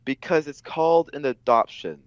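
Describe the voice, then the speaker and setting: A man co-hosting a podcast in casual conversation, heard through a muffled, low-fidelity connection. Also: animated, normal speed, clean tone, no echo. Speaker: podcast co-host. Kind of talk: casual chat.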